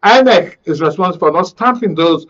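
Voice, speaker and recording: Casting: male Nigerian panel host, fairly high voice, current affairs moderation, slightly muffled broadcast sound. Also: lively intonation, a little fast, fairly bright tone. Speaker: host of a radio and TV talk show.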